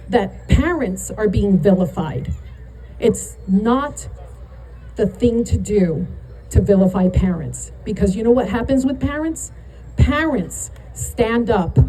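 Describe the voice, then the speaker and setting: A woman's voice: medium pitch, slight background noise, poor audio. A middle-aged woman with a New York accent giving a punchy, rousing rally speech outdoors. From New York area. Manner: emphatic, punchy, building to shouts. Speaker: rally speaker.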